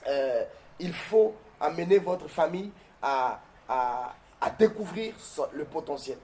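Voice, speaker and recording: A pastor from southern Congo-Brazzaville, whose first language is Mbembe, answering interview questions in a declamatory, preacherly way with frequent hesitations. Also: male voice, on the high side, expressive, slow pace, a little mellow, a little hiss or hum. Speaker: pastor and guest. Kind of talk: interview answers turning to a prayer.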